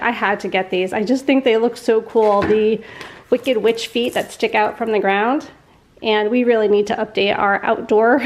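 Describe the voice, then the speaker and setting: A middle-aged woman vlogging casually at home with a nasal, congested voice and a sore throat, chatty and quick to laugh. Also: fairly high pitch. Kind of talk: casual chat to camera.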